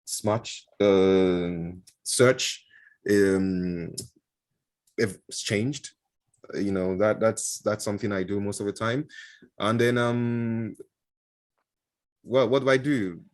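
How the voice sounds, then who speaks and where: A man with a fairly low voice talks loosely as an interview guest, stopping often for "um" and "uh" and restarting his sentences. His is Igbo, and he is heard through a video call. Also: fairly even intonation, slow pace, long pauses, darkish tone. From Nigeria. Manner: hesitant, many fillers and restarts, chuckles often. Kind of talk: casual interview answers.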